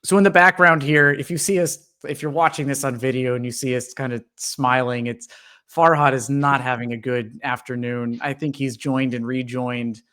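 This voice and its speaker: Male podcast host, easygoing, lots of "uh"s, middle-pitched voice. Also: fairly even intonation, normal speed, bright, forceful tone, clear, resonant tone. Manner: friendly, filler-heavy, welcoming. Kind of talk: casual podcast hosting.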